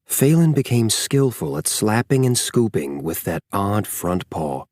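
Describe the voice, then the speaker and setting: A male audiobook narrator reads a scripted story in a fairly low voice. His storytelling is measured and expressive. The recording is dry, with very little room sound. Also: no echo.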